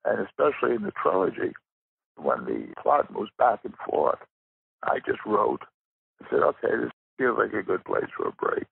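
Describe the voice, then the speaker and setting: A man with a raspy, gravelly voice giving reflective interview answers as a guest writer, pausing for emphasis. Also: fairly low pitch, big pitch swings, no room sound. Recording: muffled narrow phone-like line.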